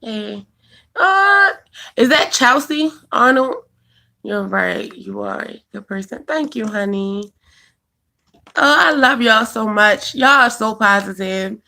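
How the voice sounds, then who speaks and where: A woman's voice: fairly high pitch, big pitch swings, slow, vocal fry, bright, forceful tone. A woman, chatting casually on a live stream, answering viewers by name and laughing often. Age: 20s. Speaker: vlogger reading live chat.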